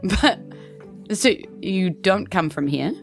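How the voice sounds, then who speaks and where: A woman's voice: fairly low pitch, expressive, slow, gravelly, faint music behind, heavily acted. A woman voicing a polite, hesitant, earnest townsman in a tabletop roleplaying game. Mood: friendly, curious.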